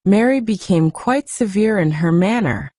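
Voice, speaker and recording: A woman reads a story softly and gently, like an audiobook narrator. She takes her time, with a lowish, mellow voice in a very dry, close recording. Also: lively intonation, measured pace, dry recording.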